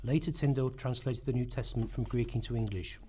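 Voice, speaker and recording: A man's voice: medium pitch, fairly even intonation, quick pace, warm tone, slight background noise. A man reading scripted historical narration as the narrator of a radio play.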